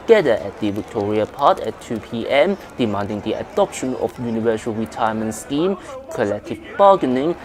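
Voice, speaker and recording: A man's voice: medium pitch, darkish tone. A man reading a scripted news report as a correspondent.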